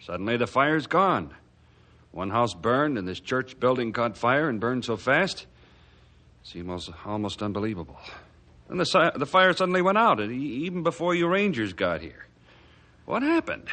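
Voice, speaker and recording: Stubborn blustery town mayor, middle-aged, forceful edgy voice, jumps all over, radio drama. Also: male voice, mid-range voice, big pitch swings, normal speed. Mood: bewildered, grudging. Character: gruff, stubborn, suspicious small-town mayor. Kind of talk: radio adventure drama acting.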